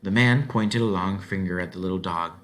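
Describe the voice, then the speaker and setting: A man narrating a children's novel aloud in an expressive storybook style. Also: low voice, a little fast.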